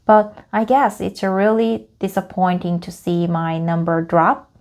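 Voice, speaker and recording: Slow, gravelly woman reading aloud. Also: lowish voice, flat delivery, a little mellow. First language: Korean. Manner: halting, repeats phrases and self-corrects. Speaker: English learner. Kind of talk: reading a script aloud for practice.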